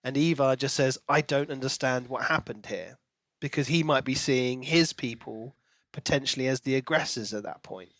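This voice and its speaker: A man's voice: mid-range voice, moderate pace, fairly bright tone, no room sound. A man co-hosting a podcast in casual book discussion, acting out reactions as he retells. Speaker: podcast co-host.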